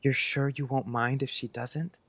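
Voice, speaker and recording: A man's voice: mid-range voice, flat delivery, unhurried, a bit bright, no room sound. A man playing an earnest, polite, precocious young schoolboy in an audiobook, speaking in careful old-fashioned phrases. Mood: solemn, proud. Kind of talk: audiobook character acting.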